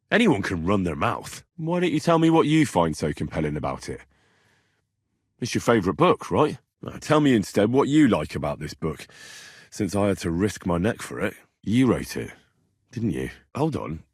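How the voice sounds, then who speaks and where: An earnest young medieval commoner for a video game. His voice is husky and swings expressively, with a cheeky, dogged, bantering way of speaking. The recording is close and dry. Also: fairly low pitch, no echo, heavily acted. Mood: sceptical. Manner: colloquial, questioning, bantering. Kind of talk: video game character dialogue.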